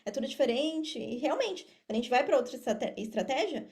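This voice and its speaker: A Brazilian woman with a clearly high voice speaks as a guest on a podcast. She explains things in a casual, conversational style, often addressing her listeners directly. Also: expressive, fairly quick, darkish tone. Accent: Brazilian Portuguese. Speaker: podcast guest, affiliate marketer and mentor. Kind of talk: casual interview talk.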